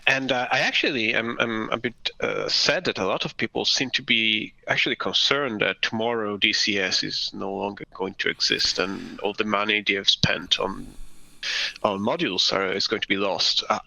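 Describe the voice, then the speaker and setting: A man with a low, gravelly voice and a sharp, bright tone co-hosts a casual podcast. He speaks in a measured, hesitant way, dropping in uhs. The sound is dry. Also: no echo, rough recording. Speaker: podcast co-host.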